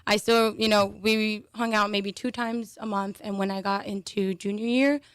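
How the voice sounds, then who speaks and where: A woman's voice: mid-range voice, steady tone, moderate pace, no echo, studio quality. A young woman guest talking casually in an interview, with many fillers and restarts. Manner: chatty, with frequent fillers and restarts. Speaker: panel guest.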